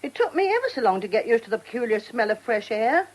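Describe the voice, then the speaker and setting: An actress playing a devoted, nostalgic old theatre dresser in a radio drama, garrulous and homely, with a working-class London accent. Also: female voice, on the high side, sing-song, quick pace, poor audio. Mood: chatty, nostalgic. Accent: working-class London English. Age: older.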